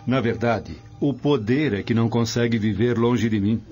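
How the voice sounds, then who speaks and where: An actor playing an ambitious, proud Roman official and husband in a radio drama, formal and self-assured. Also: male voice, medium pitch, moderate pace, lo-fi sound, music in the background, close to an everyday voice. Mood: confident, proud.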